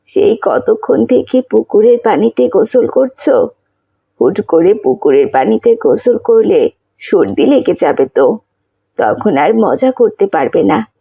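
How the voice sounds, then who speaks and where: Cartoon village granny, woman performer: elderly, tender and caring, gravelly, dull dry recording. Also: medium pitch, big pitch swings, no echo, heavily acted. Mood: caring, fussing. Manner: slow, tender, gently fussing. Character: affectionate elderly village grandmother, fussing and caring. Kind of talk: children's Islamic cartoon story acting.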